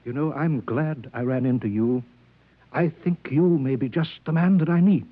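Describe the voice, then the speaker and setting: Man playing a smooth scheming demagogue, tinny old radio. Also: mid-range voice, moderate pace, dry recording, natural voice. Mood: slyly friendly. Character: scheming male demagogue, smooth, menacing, fanatically manipulative. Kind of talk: 1950s radio drama acting. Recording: thin, dry old radio broadcast recording.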